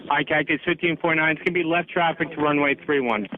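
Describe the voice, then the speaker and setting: A man's voice: fairly high pitch, fairly even intonation, fast talker. An American man working as an air traffic controller, giving clipped, procedural instructions over aviation radio. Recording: tinny narrowband radio with static.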